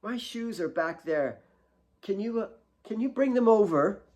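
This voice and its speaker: Man putting on a whiny, high-pitched little girl. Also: animated, measured pace, a little mellow. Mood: coaxing. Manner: whiny, insistent, exclamatory. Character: demanding, impish nine-year-old girl with a temper.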